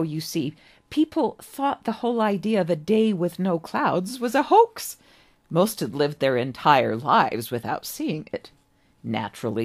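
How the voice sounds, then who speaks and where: A woman playing a slow, gentle, creaky old man. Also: sits low, heavily acted. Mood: wistful, confiding. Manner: musing, kindly, slow, with rhetorical asides. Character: gentle, wistful, very old man, self-styled professor.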